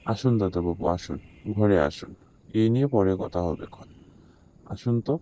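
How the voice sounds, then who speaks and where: A fearful, humble village servant man from a horror cartoon, acted by a man. His voice is lowish and extremely dark. He laments plaintively and defers to those he addresses. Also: measured pace, close to an everyday voice. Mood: sorrowful, deferential. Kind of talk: horror cartoon story dubbing.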